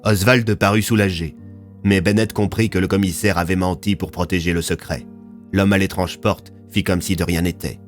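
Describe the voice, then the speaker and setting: Male narrator for serial audio fiction, dramatic scripted read, low pitch, soft music bed. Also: fairly even intonation, moderate pace.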